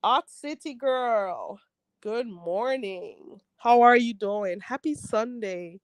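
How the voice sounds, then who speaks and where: A woman's voice: fairly high pitch, slow, no echo. A woman hosting a casual live chat, reading viewers' comments aloud. She is stuffy and a bit nasal, laughs often and talks bluntly. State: stuffy nose. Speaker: livestream host reading chat.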